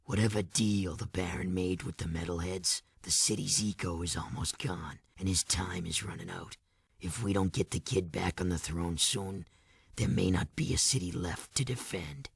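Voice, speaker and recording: Game rebel commander: very low, rough, hardened and scornful, barking terse orders, close dry recording. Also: male voice, normal speed, no echo, exaggerated voice. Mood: stern. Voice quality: low, gravelly. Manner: curt, commanding, disdainful. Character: gruff, hardened, scornful underground resistance commander, ex-guard. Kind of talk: video game cutscene acting.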